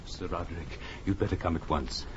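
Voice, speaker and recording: An actor playing an anxious, deferential manservant with hurried speech in 1940s radio drama style. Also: male voice, low-pitched, lightly acted. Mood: urgent, fearful. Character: anxious, deferential manservant bringing dire news. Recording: very noisy, muffled vintage radio recording.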